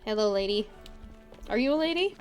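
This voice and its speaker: A woman's voice: medium pitch, animated, brisk. Woman, mid-range voice, casual live gameplay commentary, chatty self-talk, background music. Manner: chatty, mutters to herself and to game characters. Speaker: video game streamer.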